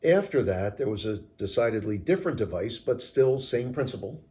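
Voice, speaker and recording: A man giving measured, technical, explanatory answers in an interview as a company co-founder. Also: medium pitch, lively intonation, dark tone. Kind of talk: interview answers about product design.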